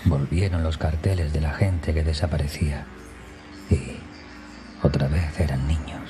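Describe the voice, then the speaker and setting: A man narrating a scripted first-person horror story in a hushed, breathy manner that often nears a whisper, with music behind him. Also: a bass voice, fairly even intonation, measured pace. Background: background music.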